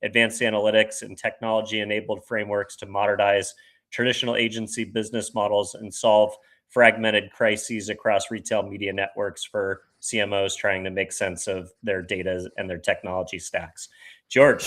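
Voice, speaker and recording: Flat-toned male interview host. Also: fairly low pitch, normal speed. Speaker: podcast host. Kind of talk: read introduction, then casual interview.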